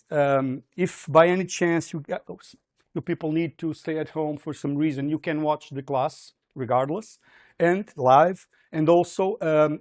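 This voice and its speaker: A man's voice: on the high side, a little mellow. A man with a Portuguese accent giving a livestreamed university programming lecture, hesitant with many fillers and frequent "okay?" checks.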